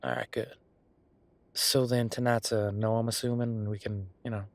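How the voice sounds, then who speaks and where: A man playing an affectionate, easygoing werewolf bodyguard in an intimate audio roleplay, talking in a casual, folksy, halting way. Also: medium pitch, level tone, creaky, no echo, natural voice. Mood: tender, reassuring.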